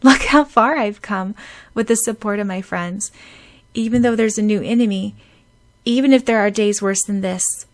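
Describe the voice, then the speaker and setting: A woman's voice: mid-range voice, normal speed, natural voice. A woman voicing an earnest young heroine who speaks emotionally, tearful but determined. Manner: emotional, pleading, raised voice.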